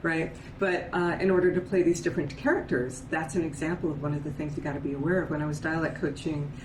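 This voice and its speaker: A woman with a deep, low voice talks through voice and dialect coaching in a relaxed, explanatory way. She often checks in with "right?". The recording is roomy and echoey, with faint ambience behind her. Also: fast, a little hiss or hum. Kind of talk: casual conversational interview.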